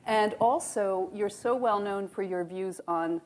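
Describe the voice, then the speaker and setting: Canadian lady host, mid pitch, chatty, questions kinda ramble. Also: measured pace, a little mellow. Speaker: podcast host. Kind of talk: casual interview chat.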